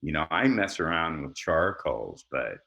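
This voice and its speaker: Deep-voiced man telling stories easily. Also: sing-song, measured pace, creaky, highs cut off. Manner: easygoing, laughs often, many restarts. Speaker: actor guest. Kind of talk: conversational storytelling.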